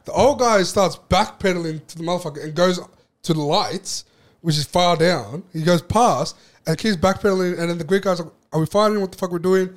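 A man's voice: sits high, fairly quick. A young man co-hosting a podcast, telling a story in a rambling way with lots of crude swearing. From Australia. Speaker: podcast co-host. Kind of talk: casual banter.

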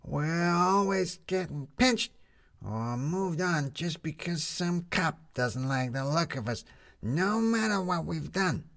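A man's voice: fairly high pitch, animated, takes it slowly, a bit bright, pitched above the performer's own voice, exaggerated voice. A man voicing a feisty female street newsie in a storybook, blunt and impatient. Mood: aggrieved. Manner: blunt, exasperated.

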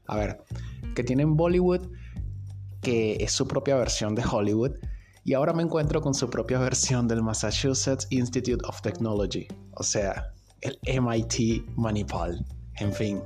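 A man's voice: mid-range voice, unhurried, a little mellow. A man hosting a podcast, telling a story in an informal, conversational way with sardonic asides. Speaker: podcast host. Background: music.

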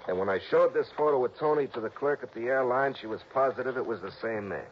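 An actor playing a blunt, excitable police lieutenant in an old radio drama. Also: male voice, medium pitch, quick pace, lightly acted. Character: gruff, worried male police lieutenant. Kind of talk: 1940s radio acting. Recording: dull old radio broadcast with faint hiss.

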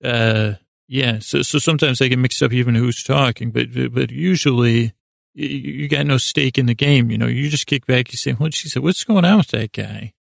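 A man with a gravelly voice hosting a sleep podcast in a rambling, hesitant, self-deprecating monologue that keeps drifting into tangents. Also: medium pitch, moderate pace, no echo, studio quality. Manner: meandering, hesitant, with self-deprecating asides and acted-out imaginary dialogue.

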